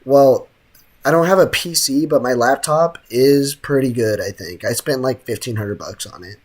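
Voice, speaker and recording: Casting: young male game streamer, medium pitch, casual chat, joking and full of slang. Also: normal speed. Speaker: livestreamer.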